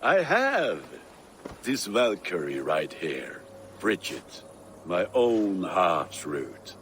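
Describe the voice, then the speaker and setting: A man's voice: deep voice, huge pitch swings, drawn-out words, fairly bright tone, slight background noise, full character voice. An actor playing a hearty, lovelorn Viking blacksmith in a video game, proudly smitten and savoring his words. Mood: smitten, jovial. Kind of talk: dramatic video game acting.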